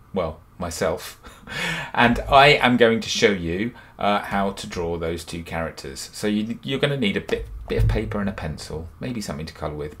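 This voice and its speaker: A man's voice: on the low side, fairly bright tone. A man in his fifties, a children's author and illustrator, cheerfully talking young children through a drawing step by step from his home studio. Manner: upbeat and encouraging, talks children through each step.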